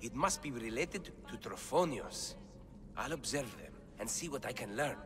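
A man's voice: mid-range voice, expressive, moderate pace, a bit bright, big-room sound, lightly acted. An actor voicing an earnest, bookish young man who explains ancient texts in a video game cutscene. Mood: thoughtful, explanatory.

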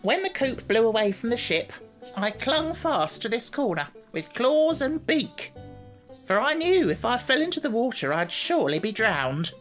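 A man voicing a small, fussy, matter-of-fact yellow hen in an audiobook, with a sharp, distinct voice. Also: very high voice, big pitch swings, moderate pace, faint music behind, voice pushed up, exaggerated voice. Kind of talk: audiobook character voice.

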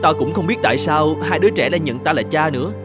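An actor playing a kind, humble young peasant, earnest and conciliatory, in fairy-tale dubbing. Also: male voice, fairly high pitch, fast, close to an everyday voice. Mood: earnest, reassuring. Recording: music behind the voice.

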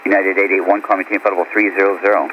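Male controller, low voice, dull radio channel. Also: little pitch movement, very fast. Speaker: air traffic controller. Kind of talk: radio instructions. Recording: dull, faintly noisy radio.

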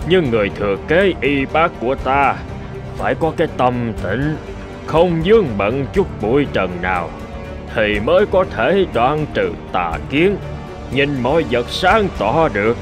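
Solemn old abbot, animated delivery. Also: male voice, mid-range voice, music in the background. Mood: solemn, teaching. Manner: measured, instructive. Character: solemn old bearded male Buddhist abbot. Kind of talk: animated folk tale dialogue.

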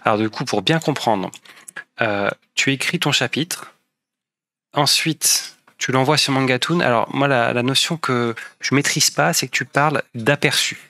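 A young male host asks careful, polite questions and sums up answers to be sure he has understood. His voice is bright and forceful, at a middle pitch for a man, heard through a headset mic from a room at home. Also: a little slow, dry recording. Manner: polite, apologetic, clarifying. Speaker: interviewer, host. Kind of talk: interview questions, rephrasing to check understanding.

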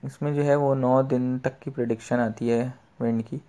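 A man's voice: mid-range voice, fairly even intonation, moderate pace, mellow. Man explaining. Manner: repetitive filler phrases, explanatory. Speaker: fishing tutorial host. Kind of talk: informal screen tutorial.

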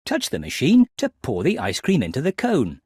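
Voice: mid-range voice, huge pitch swings, normal speed, a little mellow, slight background noise. A narrator of a children's game, giving scripted instructions expressively for young children. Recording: music behind the voice.

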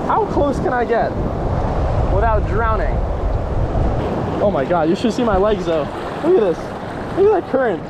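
A young man in his twenties vlogging while fishing in a river by a waterfall, excitable and whooping when fish bite, recorded on a handheld action camera. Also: sits high. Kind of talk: excited outdoor vlog. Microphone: action camera held at arm's length. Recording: loud rushing water noise. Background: rushing river water.

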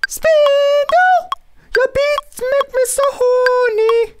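Comic singing diva: piercing high falsetto, exaggerated melodrama, joke tutorial vocal. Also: male voice, extremely slow, background noise, heavily acted. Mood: mock passion. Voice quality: shrill falsetto. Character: mock diva singer, shrill, absurdly overdramatic.

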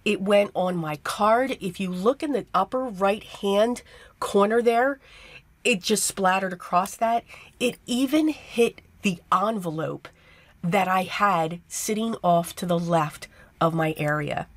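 A woman with a tired, hoarse voice narrating a card-making tutorial step by step, chatty and casual. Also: mid-range voice, slow, dry recording. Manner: chatty step-by-step explaining with fillers. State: losing her voice, hoarse. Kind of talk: voice-over craft tutorial.

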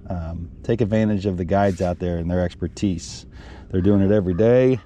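A middle-aged man, a fishing guide, talking casually from his boat on a lake into a headset mic, relaxed and rambling with lots of ums. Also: deep voice, moderate pace, slight background noise. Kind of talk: casual fishing vlog chat.

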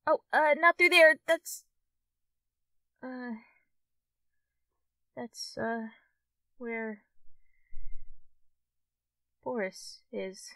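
A woman playing a friendly, shy, easily excited young fox-tailed girl in an ASMR roleplay, speaking hesitantly to the listener as a friend. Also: sits high, no room sound, recorded from a distance, heavily acted. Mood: casual, hesitant. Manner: hesitant, halting, giggly, addressing the listener as a friend. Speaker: roleplay performer.

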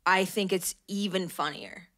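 A woman's voice: lowish voice, a little slow, fairly bright tone, dry recording, clean studio sound. A woman in her twenties from Canada, co-hosting a casual podcast, rambling and self-deprecating with frequent giggles. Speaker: podcast co-host. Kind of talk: casual chat. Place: small apartment room, indoors. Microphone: handheld dynamic mic, close.

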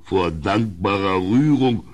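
A man's voice: deep voice, takes it slowly. An actor playing a cruel, drunken king in a German audio drama, domineering and mocking as he commands. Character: cruel, drunken, bullying king, given to loud mirth.

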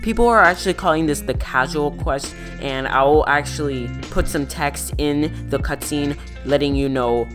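A woman giving a casual video intro as a gaming creator. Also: mid-range voice.

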